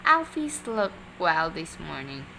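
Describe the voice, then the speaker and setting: A woman voicing a shy, agreeable storybook gentleman with a polite, solemn manner. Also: low-pitched, sing-song, slow, vocal fry, background noise, heavily acted. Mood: politely admiring.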